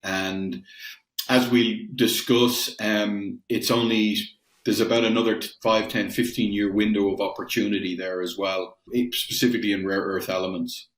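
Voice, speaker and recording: A man's voice: on the low side, fairly even intonation, moderate pace, echoey room. A middle-aged Irish man from Dublin answering interview questions conversationally, with frequent fillers and restarts. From Ireland. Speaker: guest, metals company founder. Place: home in Ireland, roomy.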